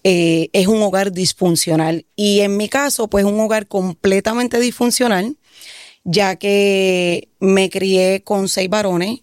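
A woman's voice: mid-range voice, darkish tone, no room sound. Woman, Puerto Rican Spanish accent, earnest testimony, says amen often, dry recording. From Puerto Rico. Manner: earnest, answers with frequent "amén". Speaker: guest giving a testimony.

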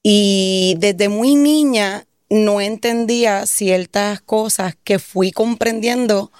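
A woman's voice: medium pitch, slow, darkish tone, clean tone, dry recording, clean studio sound. A Puerto Rican woman telling her faith testimony in an interview, earnest and saying amen often. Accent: Puerto Rican Spanish. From Puerto Rico. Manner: earnest, answers with frequent "amén". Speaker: guest giving a testimony.

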